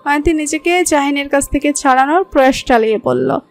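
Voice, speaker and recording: High-voiced woman narrating a love story. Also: fairly quick, vocal fry. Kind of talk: scripted narration of a romantic story. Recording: soft background music.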